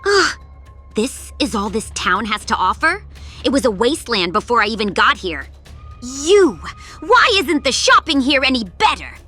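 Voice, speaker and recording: A quick-witted young female animal character, voiced by a woman in a comic dub. The voice is high, bright and direct, with music underneath. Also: sing-song, exaggerated voice. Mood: haughty.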